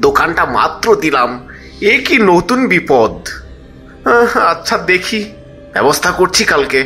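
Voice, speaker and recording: A man's voice: on the high side, very animated, a little slow, pushed and bright, faint music behind, heavily acted. A man playing a greedy, envious shopkeeper in a cartoon fable, with an exclamatory, complaining, melodramatic delivery. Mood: fretful, complaining. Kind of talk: cartoon voice acting.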